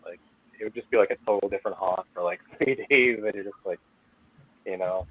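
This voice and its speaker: A man's voice: lowish voice, brisk, slightly rough, phone-quality sound. A man with a Maine accent, chatting casually as a podcast guest over a video call, rambling with lots of fillers and laughing at himself. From Maine, USA. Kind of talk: casual chat.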